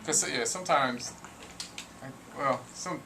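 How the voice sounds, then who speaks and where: A man's voice: medium pitch, unhurried, sharp tone, a little hiss or hum. A man guesting on a radio talk show, chatting casually and joking with the hosts. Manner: laid-back, joking, laughs often. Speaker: guest comedy writer. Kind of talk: casual comedic chat.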